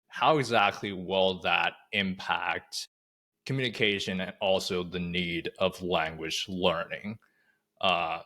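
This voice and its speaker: Deep forceful man, Mandarin native. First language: Mandarin Chinese. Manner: deliberate, frequent "uh" and "you know". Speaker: podcast co-host. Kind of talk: podcast conversation.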